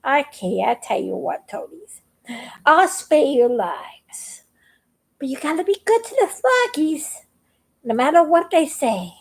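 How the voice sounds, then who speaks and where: A woman voicing a hungry female bird who speaks slowly and sternly, relishing her words. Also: high voice, big pitch swings, gravelly, heavily acted. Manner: slow, drawn-out, relishing.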